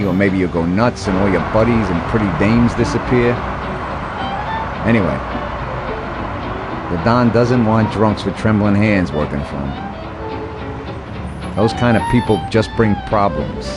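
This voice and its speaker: A man playing a middle-aged mob advisor in a video game cutscene, calm and measured as he counsels. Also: on the low side, over loud music, natural voice. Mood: fatherly, cautioning. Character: calm older mobster advisor, fatherly, cautionary, businesslike.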